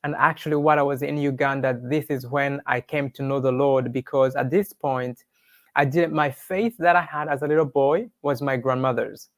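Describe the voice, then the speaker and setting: A man's voice: mid-range voice, fairly even intonation, normal speed, dry recording. Rwandan-accented man giving earnest testimony. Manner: earnest, devout storytelling. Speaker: interview guest sharing testimony. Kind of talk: personal testimony in an interview.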